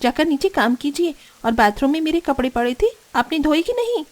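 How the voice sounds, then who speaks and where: A woman's voice: high voice, big pitch swings, a little fast, voice pushed up. A woman voicing an arrogant, bossy young married sister-in-law in a cartoon family drama, scolding and whiny. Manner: scolding, self-centred, whiny.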